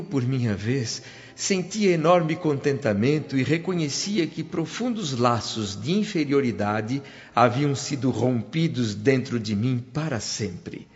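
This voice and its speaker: Long reverb and soft music surround an actor as an earnest Brazilian male spirit telling his own story. He narrates the radio drama solemnly and deliberately in literary phrasing, on a slightly muffled recording. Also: medium pitch, close to an everyday voice. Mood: joyful, moved. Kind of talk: scripted first-person radio drama narration. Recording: dull lo-fi audio, long hall reverb. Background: background music.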